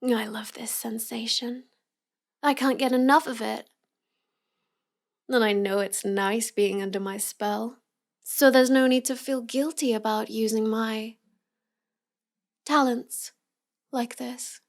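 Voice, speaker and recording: A woman's voice: a little high, steady tone, gravelly. A woman playing a cute, teasing young villainess in an intimate roleplay audio, drawing out her words as she mocks the listener. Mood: entranced, confiding. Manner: slow, teasing delivery with drawn-out words and giggles. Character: cute, teasing, mocking young villainess with mind-control powers.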